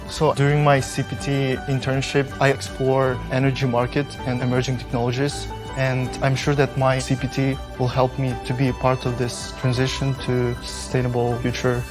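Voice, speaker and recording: Young guy from kyrgyzstan, flat earnest tone, music in the back. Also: mid-range voice, moderate pace, few pauses. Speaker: graduate in electrical engineering. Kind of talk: promotional testimonial.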